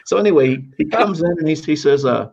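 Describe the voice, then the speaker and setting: A man's voice: fairly high pitch, fast, vocal fry, breathy edge. Older American man storytelling, muffled sound. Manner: animated, acts out quoted dialogue. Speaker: veteran radio announcer telling career memories. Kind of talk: casual anecdotal storytelling.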